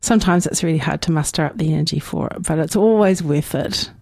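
Kiwi lady hosting radio chat, lowish voice, super dry mic, warm and encouraging. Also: fast, creaky, slightly rough, dry recording. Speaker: radio host. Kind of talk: community radio interview.

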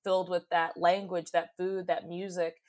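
A woman's voice: on the low side, little pitch movement, normal speed, darkish tone. A young woman, reflecting thoughtfully and expressively in a conversational interview. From New Zealand. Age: young adult. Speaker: podcast guest, youth advocate and poet.

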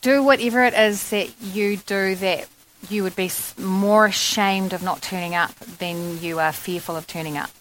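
A woman's voice: medium pitch, fairly even intonation, moderate pace, a bit bright, a little hiss or hum, low-quality recording. A woman comedian on a festival panel, answering audience questions in a frank, chatty way with many fillers. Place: festival venue in front of an audience.

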